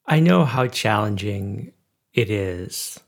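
A man's voice: lowish voice, expressive, takes it slowly, vocal fry. A man interviewing a teacher, asking questions in formal, elaborate wording.

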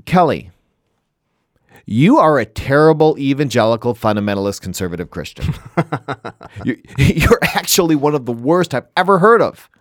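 A man co-hosting a studio podcast, talking casually with a jokey edge. His pitch swings widely as he talks. The sound is dry and close with no room echo. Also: normal speed. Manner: jokey, animated, laughs easily. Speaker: podcast co-host.

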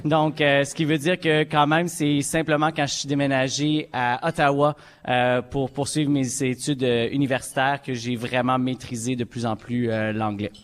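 A Franco-Ontarian man with a middle-pitched voice answers on a panel, pausing often as he works through each sentence. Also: flat delivery, a little hiss or hum. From Hearst, northern Ontario. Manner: frequent hesitations. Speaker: panelist, association vice-president. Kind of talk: panel discussion.

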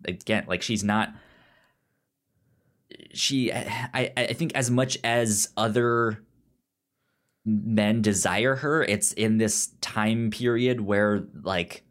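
A podcast co-host chatting casually about films and comics, halting and often stammering over words. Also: mid-range voice. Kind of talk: casual film and comic discussion.

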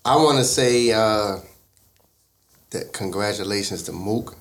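Animated man in an echoey room. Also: lowish voice, measured pace. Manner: animated, interrupting banter. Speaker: podcast studio owner. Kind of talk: casual chat. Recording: echoey room with faint noise.

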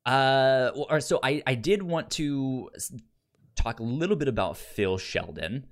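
Guy podcaster chatting reviews, mid-pitched voice, stammers a lot, really into it. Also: animated. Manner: halting, stammers and repeats syllables; enthusiastic about the material. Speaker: podcast co-host reviewing fiction. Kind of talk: casual review chat.

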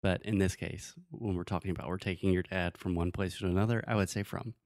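A man's voice: deep voice, fairly even intonation, fast talker, darkish tone, no room sound, studio quality. A man who is a native English speaker, teaching in a casual conversational way with everyday examples and checking that the listener follows. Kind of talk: casual conversational language lesson.

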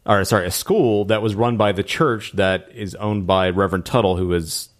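A man hosting a podcast, recapping in a casual, halting way as he talks. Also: fairly low pitch. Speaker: podcast host recapping TV episodes.

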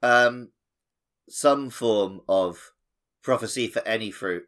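A man's voice: lowish voice, slow pace, fairly bright tone. A man co-hosting a gaming podcast, chatting casually with wry, self-deprecating humour. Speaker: podcast co-host. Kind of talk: casual, joking video game chat.

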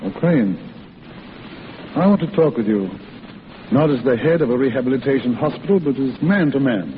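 An actor playing a kindly middle-aged hospital doctor, avuncular, deliberate and probing, in a 1940s radio drama. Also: male voice, mid-range voice, lively intonation, natural voice. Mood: earnest, probing. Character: kindly, fatherly head doctor of a rehabilitation hospital. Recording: tinny, hissy old radio broadcast.

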